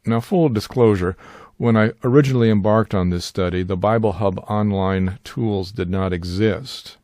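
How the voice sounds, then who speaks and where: An older man with a lowish voice reads a scripted Bible-study lesson in a calm, measured way. He slows right down to stress each key phrase. The sound is close and dry, with no room around it. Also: dry recording. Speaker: Bible teacher and sign language interpreter. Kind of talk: scripted instructional narration.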